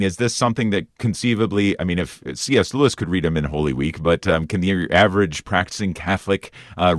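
A man's voice: sits low, no room sound, studio quality. A man hosting a conversational radio interview, feeling his way through questions and rephrasing them as he goes. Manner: halting, rephrases questions repeatedly.

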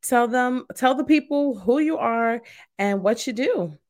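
A woman's voice: a little high, animated, unhurried, a little mellow. A woman from Brooklyn, New York, hosting a podcast and chatting casually, peppering her talk with "like" and "you know". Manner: conversational, uses "like" and "you know" often. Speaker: podcast host. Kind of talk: casual podcast interview chat.

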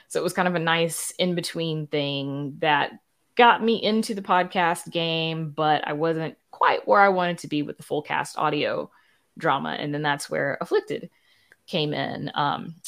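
Low-pitched woman chatting in an interview. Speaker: podcast creator and guest. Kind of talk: casual interview storytelling.

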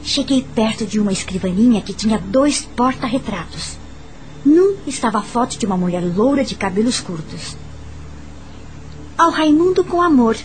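An actress with a Brazilian accent playing an anxious, curious young governess in a dramatic radio drama, narrating in the first person. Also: female voice, on the high side, a little hiss or hum, natural voice, poor audio.

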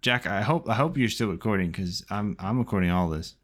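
A man's voice: on the low side, a little fast. A man co-hosting a podcast, rambling in casual chat and swearing freely. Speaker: podcast co-host.

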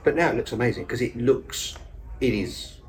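A man talks loosely and eagerly about his theatre work. He rambles, restarts his sentences and piles on fillers. His pitch sits in an ordinary middle range. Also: normal speed, slight background noise. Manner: enthusiastic, rambling, self-interrupting with fillers. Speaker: actor and stage director, podcast guest. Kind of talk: casual conversational interview.

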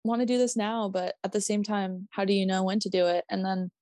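A woman with a light Minnesotan accent chats loosely on her podcast, circling her point with lots of "like" and quick laughs. Her voice sits at a middle pitch and is recorded dry and close, with no room sound. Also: flat delivery, mellow, no room sound. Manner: rambling, self-interrupting, laughing, swearing. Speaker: podcast co-host. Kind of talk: casual chat.

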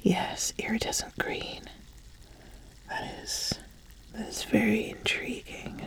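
A woman voicing an ambitious, appraising witch in a breathy whisper for a fantasy ASMR roleplay, deliberate and given to repeating phrases. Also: a little high, flat delivery, heavily acted, poor audio. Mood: intrigued, approving. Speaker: ASMR host.

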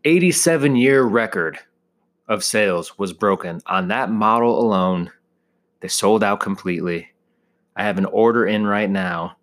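A man's voice: on the low side, a little slow, fairly bright tone. An American man in his thirties rambling casually in a joking, self-deprecating way, full of exaggeration. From United States. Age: 30s. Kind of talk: casual rambling solo monologue.

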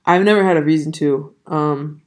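A young adult podcast host talking casually on a solo show, with a hoarse voice that has not fully come back and a rambling way of speaking full of fillers and restarts. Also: medium pitch, moderate pace, darkish tone. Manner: rambling, filler-heavy, self-correcting.

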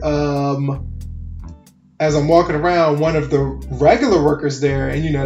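A man's voice: fairly high pitch, gravelly, a little mellow, roomy sound, highs cut off. Profane, laid-back man in his twenties. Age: 20s. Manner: loose, profane, laughs often. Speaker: podcast co-host. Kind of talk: casual chat.

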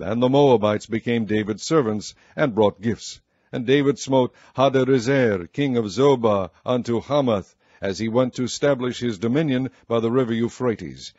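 A man reads scripture aloud at a slow, solemn, measured pace. His voice sits in a middle pitch. The recording is muffled and dry, with no room around it. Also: a little mellow, no room sound. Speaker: Bible reader. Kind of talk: scripture reading.

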